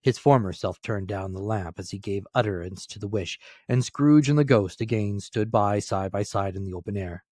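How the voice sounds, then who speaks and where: A man's voice: on the low side, moderate pace, hardly any pauses, dark tone, dry recording, studio quality. A man narrating a classic story as a dramatic scripted reading. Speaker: narrator, reader.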